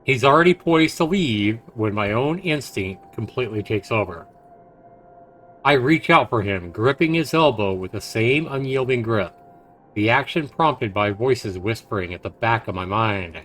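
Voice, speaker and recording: A man streaming a visual novel, reading it aloud haltingly with stumbles, self-corrections and casual asides. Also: medium pitch, expressive, a bit bright.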